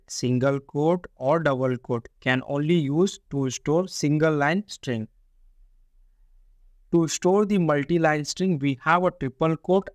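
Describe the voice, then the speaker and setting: A man's voice: on the high side, dry recording. A man teaching programming, explaining step by step and repeating points. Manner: repetitive, explains step by step with frequent "see" and "only". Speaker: programming tutor. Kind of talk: screen-recorded coding lesson.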